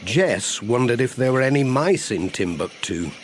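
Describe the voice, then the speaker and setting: A man's voice: medium pitch, lively intonation, moderate pace, slight background noise. A man narrating a scripted children's audiobook as its storyteller.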